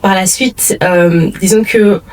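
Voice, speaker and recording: A woman in her twenties from Cameroon, talking casually as an interview guest, lively with frequent fillers and laughs. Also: medium pitch, takes it slowly, poor audio. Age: 20s. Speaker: podcast guest, entrepreneur.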